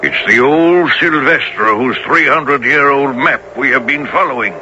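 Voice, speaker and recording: An actor playing a wry, good-humoured seafaring gentleman officer, with a droll, theatrical manner, in a radio drama. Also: medium pitch, moderate pace, lo-fi sound. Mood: knowing, hushed. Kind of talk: 1970s radio drama acting. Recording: noisy old radio broadcast recording.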